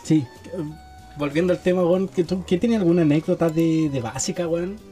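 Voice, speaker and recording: A man's voice: fairly high pitch, normal speed, dark tone, soft background music. A man with a Chilean accent, co-hosting a casual chat full of crude, teasing banter.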